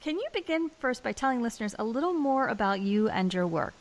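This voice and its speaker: A woman's voice: fairly high pitch, sing-song, fast talker. A woman hosting an interview show, reading a polished introduction and then chatting with a guest, laughing often. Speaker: podcast host. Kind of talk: interview, warm scripted intro then chat.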